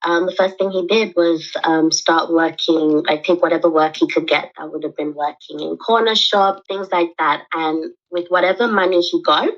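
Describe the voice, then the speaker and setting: A young woman guest from the UK and Sri Lanka, telling her story in an interview with many pauses and ums. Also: on the low side, steady tone, lo-fi sound. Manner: hesitant, many ums and restarts. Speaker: guest, human rights advocate. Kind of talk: interview answer, personal story.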